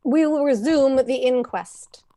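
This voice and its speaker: A woman's voice: sits high, measured pace, warm tone. An actress playing a stern, composed woman coroner who questions formally in an old-time radio drama. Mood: official, brisk.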